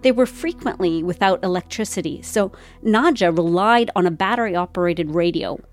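A woman radio host giving calm, even, scripted documentary narration. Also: on the low side, normal speed, soft background music.

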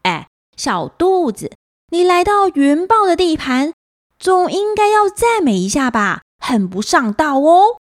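A woman's voice: very high voice, sing-song, unhurried, no room sound, clean studio sound, full character voice. A woman voicing a cocky young clouded leopard cub, boastful and teasing, in a children's story. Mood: proud.

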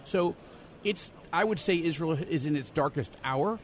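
A man giving conversational news analysis as an interview guest, halting at times and stressing key words. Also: fairly high pitch. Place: convention center.

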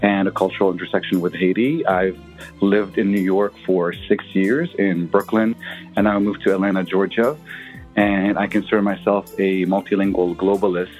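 A man from Lebanon leaves a reflective voicemail, speaking in an unhurried way with pauses and fillers. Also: deep voice, flat delivery, a little mellow, faint music behind, poor audio. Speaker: listener leaving a voicemail. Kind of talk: voicemail message.

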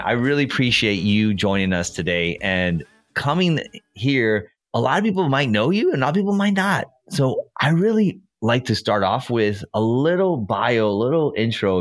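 A man's voice: medium pitch, lively intonation, normal speed, dry recording, clean studio sound. A man born in Colombia hosting a casual podcast interview, enthusiastic and a bit rambling, repeating his praise. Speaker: podcast host.

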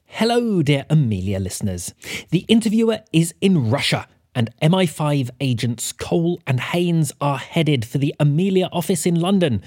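A man's voice: a little high, animated, normal speed, a little mellow, no room sound, studio quality. A man announcing a show with scripted promos and introductions, speaking theatrically and directly to listeners.

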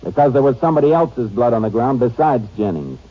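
An actor playing a tough, steady Texas Ranger in a 1950s radio western, speaking tersely and sternly. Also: male voice, medium pitch, quick pace, husky. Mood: stern accusing. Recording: dull old radio recording, faint music.

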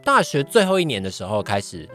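A man's voice: medium pitch, wildly swooping pitch, brisk, sharp tone, faint music behind. A young man with a Taiwanese Mandarin accent, chatting casually as an interview guest in a hesitant, modest way. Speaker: podcast guest, trainee voice actor.

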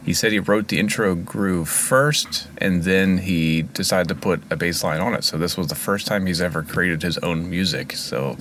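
A man co-hosting a podcast from a basement studio, easygoing and quick to laugh in casual chat. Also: deep voice, fairly bright tone, a little hiss or hum. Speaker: podcast co-host.

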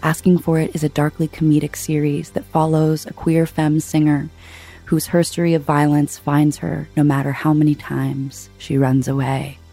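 A woman reading a podcast promo, with a husky, gravelly voice. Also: deep voice, flat delivery, normal speed, mellow, faint music behind, rough recording. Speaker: ad presenter.

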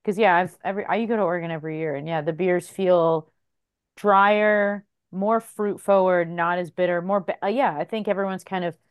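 A woman hosting a casual podcast chat, chatty and quick to laugh, asking follow-up questions. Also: lowish voice, steady tone, clear, resonant tone, dry recording, studio quality. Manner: chatty, laughs easily, asks follow-ups. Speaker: podcast host.

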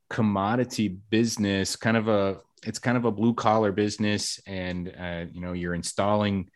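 A man hosting a casual podcast interview, talkative and upbeat, with self-deprecating jokes. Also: fairly low pitch. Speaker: podcast host.

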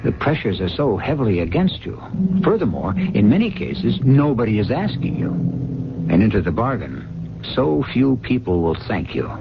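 A man hosting a radio mystery series, delivering a scripted introduction in a deliberate, philosophical, theatrical manner. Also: fairly low pitch, very animated, creaky, background noise. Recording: muffled vintage radio broadcast. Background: music.

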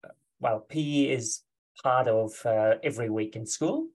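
A man's voice: mid-range voice, moderate pace. A man from Germany with a German accent, a guest on a podcast, talking in a friendly, hesitant way. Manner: hesitant, many fillers and restarts, friendly. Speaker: guest, ultra runner. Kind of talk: podcast interview.

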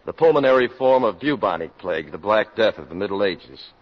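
A man's voice: on the low side, animated. A man acting as a commanding, insistent public health doctor in a 1950s American radio drama. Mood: firm, explaining. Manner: brisk, authoritative, insistent. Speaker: actor. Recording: dull vintage 1950s radio recording.

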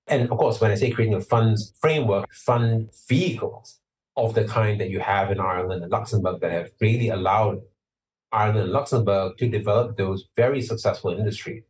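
A man from Singapore, a lawyer, answering interview questions in a measured, explanatory way that turns emphatic at points. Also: fairly low pitch, far from the mic, rough recording.

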